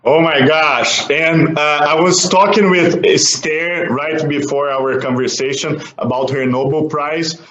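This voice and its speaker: A man's voice: on the high side, steady tone, measured pace, hardly any pauses, a bit bright. A man interviewing a guest, enthusiastic and searching for his words as he asks. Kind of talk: conversational interview questions. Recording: roomy, dull lo-fi sound.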